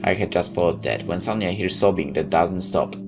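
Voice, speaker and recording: A very low-pitched male gamer reads story text aloud in a dramatic let's play style, tripping over the odd word. He drops in wry, joking comments of his own. Clear music plays behind him. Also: brisk. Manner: wry, dramatic reading with stumbles. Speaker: gamer reading a visual novel aloud. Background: game music with guitar.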